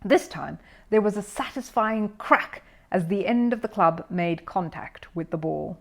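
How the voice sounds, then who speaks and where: A woman's voice: fairly low pitch, lively intonation, measured pace, vocal fry. Woman reading a children's story. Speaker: storyteller, audiobook reader. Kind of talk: scripted children's story narration.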